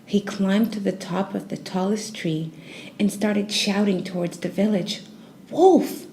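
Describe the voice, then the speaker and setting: Mellow woman telling a bedtime story. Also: medium pitch, steady tone, unhurried, a little hiss or hum. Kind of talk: soothing scripted bedtime story narration.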